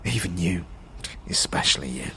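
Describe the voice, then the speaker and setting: An actor playing a talking skull, a dead anarchist who is bitter, conspiratorial and speaks in streetwise slang, in a fantasy audio drama. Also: male voice, low-pitched, expressive, moderate pace, bright, forceful tone, a little hiss or hum. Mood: curt, defiant. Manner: streetwise slang, scornful, guarded.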